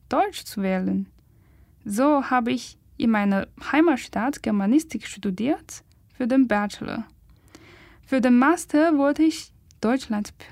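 A young woman reads her own scripted report, slow and deliberate. Her voice sits a little high and sounds close and dry, with no room around it. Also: darkish tone, no room sound. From China. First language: Chinese. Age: young adult. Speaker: student reporter. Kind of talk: scripted first-person report.

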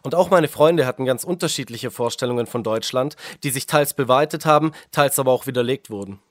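Male reporter, mid voice, smooth polished radio narration, dry close sound. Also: medium pitch, fairly quick, dry recording. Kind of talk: scripted radio feature narration.

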